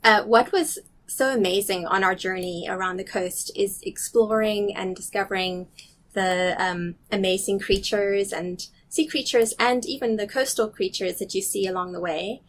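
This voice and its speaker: A woman's voice: medium pitch, normal speed. A middle-aged woman from South Africa with a blended international accent, slightly Canadian, telling nature stories eagerly and explaining with small restarts in a casual interview. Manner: enthusiastic, curious, explains carefully with small restarts. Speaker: guest, nature recorder. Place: restaurant or office in a city.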